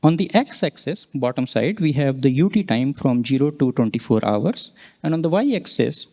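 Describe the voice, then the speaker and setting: A man presenting scientific results, explaining charts step by step and pausing mid-sentence to point things out. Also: on the high side, a little mellow. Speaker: conference presenter, researcher.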